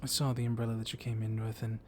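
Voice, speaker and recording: A man playing a gently dominant, comforting vampire librarian in an intimate ASMR roleplay, speaking softly and haltingly, often slipping into a whisper. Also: lowish voice, completely flat delivery, lightly acted. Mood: soothing, reassuring. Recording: clear storm noise behind the voice. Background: thunderstorm ambience.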